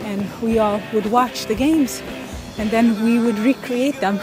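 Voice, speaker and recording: A woman's voice: a little high, rough recording. Thoughtful woman from Ethiopia, music behind. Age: 30s. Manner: thoughtful, unhurried, frequent pauses and soft laughs. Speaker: interviewee, pickup soccer player. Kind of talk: casual interview storytelling.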